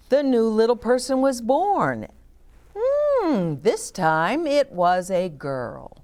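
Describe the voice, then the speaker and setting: Slow, expressive woman reading a storybook. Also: low voice. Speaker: children's story time host and reader. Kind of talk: storybook read-aloud for young children with short asides.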